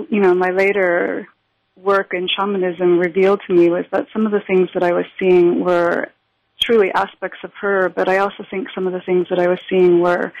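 A woman with a gravelly, fry-tinged voice speaks thoughtfully, pausing and restarting as she tells her story. The recording is dull and lo-fi, with a dry sound and little room. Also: fairly low pitch, no room sound. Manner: thoughtful, halting, with many fillers and restarts. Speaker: interview guest, shamanism teacher. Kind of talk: reflective conversational storytelling.

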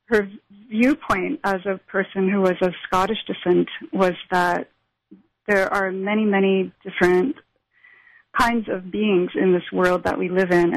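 A woman who is a spiritual practitioner and teacher, answering interview questions thoughtfully, with many pauses and restarts. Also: on the low side, vocal fry, low-quality recording. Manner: thoughtful, with frequent fillers and restarts.

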